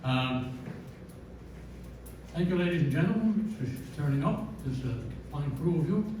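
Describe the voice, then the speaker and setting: Older Newfoundland candidate, stumbling delivery, recorded from across a noisy, echoing room. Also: male voice, on the high side, lively intonation, warm tone, distant mic. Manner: halting, repeats words. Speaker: council candidate. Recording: echoey hall recorded from afar.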